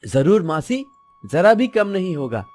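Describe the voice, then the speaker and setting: A man plays a gentle young cartoon greengrocer with a high voice. He is courteous, honest and reassuring with his customers. Faint music sits underneath. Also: sing-song, measured pace. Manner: polite, reassuring. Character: honest, gentle young male vegetable seller. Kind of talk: cartoon story acting.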